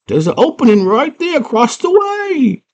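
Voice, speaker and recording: A man's voice: very high-pitched, sing-song, normal speed, pitched above the performer's own voice, exaggerated voice. A man voicing a small, friendly, helpful worm in a comic movie retelling, chatty and homely. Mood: coaxing.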